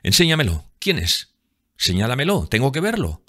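Un hombre que da voz a un chico de dieciséis años, celoso y malhumorado, con tono hosco y resentido, en un audiolibro. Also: male voice, low-pitched, moderate pace, no echo, studio quality, close to an everyday voice. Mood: demanding. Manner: gruff, resentful, forceful. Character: sullen, jealous, hot-tempered sixteen-year-old working-class brother.

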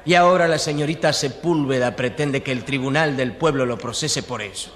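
A man's voice: mid-range voice, fairly quick, natural voice. An actor playing an opinionated, easily outraged newsman in a radio drama, emphatic and exclamatory. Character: outraged, opinionated male newsman defending the mayor. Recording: long reverberant hall.

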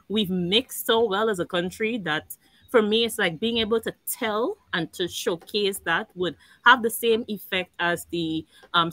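A woman speaking earnestly on a video call panel, expressive and quick to laugh. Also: medium pitch, no echo, poor audio. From Guyana. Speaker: panel guest, animation network founder.

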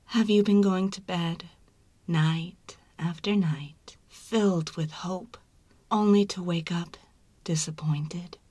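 A woman narrating a scripted ASMR advert in a soft, breathy, deliberate whisper. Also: fairly low pitch, steady tone, no room sound. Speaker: promo narrator.

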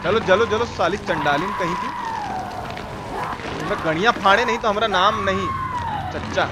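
Male game streamer, Bihari accent, high register, colloquial exclaiming commentary, noisy game ambience underneath. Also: normal speed. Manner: colloquial, repetitive, exclaiming, mock-abusive. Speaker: live-streamer playing a horror game. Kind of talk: excited gameplay commentary.

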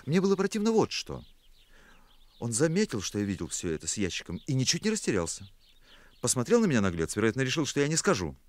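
An actor playing an awkward, fastidious, earnest young musician in a radio play, hesitant and polite. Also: male voice, mid-range voice, huge pitch swings. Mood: disgusted. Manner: hesitant, polite, easily indignant.

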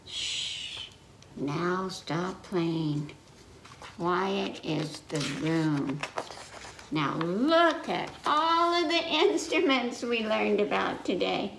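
Creaky older woman at children's story time. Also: mid-range voice. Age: 60s or older. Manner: slow, simple, teacherly explanations with repetition. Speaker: library story time reader. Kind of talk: children's story time, reading aloud and explaining to little listeners. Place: indoor living room in front of a fireplace. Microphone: camera a few feet away. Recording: echoey room, noticeable noise, clicks.

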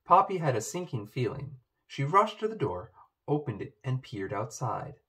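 A man reading a children's storybook aloud, with expressive narration. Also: mid-range voice, normal speed. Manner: expressive, animated. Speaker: storybook reader. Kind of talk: children's book read-aloud.

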